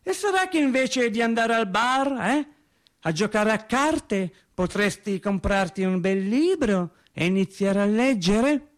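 A man acting out an exasperated working-class wife in a radio play. The voice is pitched high, bossy and challenging, pressing each point like a bookish scold who will not be contradicted. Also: lively intonation, measured pace. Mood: scornful. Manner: challenging, insistent rhetorical questions. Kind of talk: quoted dialogue in a radio theatre monologue.

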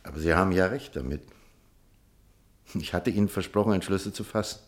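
An actor playing an earnest, conflicted doctor in love in a German radio drama, speaking in a formal, insistent manner. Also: male voice, deep voice, a little fast, lightly acted. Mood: regretful.

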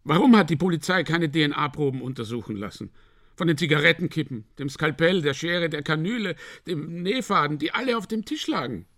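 A man's voice: on the high side, expressive, brisk, fairly bright tone. An actor playing an earnest man who gives accusing, insistent testimony about a death in a radio drama.

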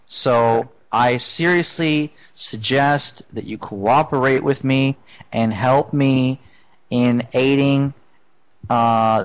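A man's voice: mid-range voice, natural voice. A man playing a stern, coercive television sting producer in a mock-official prank phone call. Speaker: prank caller.

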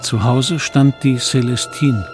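A man's voice: mid-range voice, slow pace, music in the background, natural voice. A man acting as a reflective, confessional narrator who recalls his guilty boyhood in a radio drama. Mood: remorseful, reflective. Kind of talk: scripted first-person radio drama narration.

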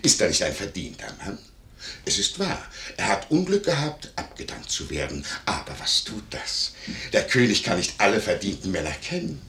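An actor playing a nosy, greedy, fawning innkeeper with an ingratiating, wheedling manner, in a classical comedy radio play. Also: male voice, low voice, wildly swooping pitch, moderate pace, sharp tone, exaggerated voice. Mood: indignant, offended. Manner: ingratiating, wheedling, over-protesting. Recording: roomy vintage 1950s radio recording.

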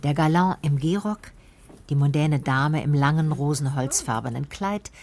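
Woman, low pitch, scripted documentary voice-over, narrator and translator, faint ambient noise. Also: lively intonation, normal speed, darkish tone.

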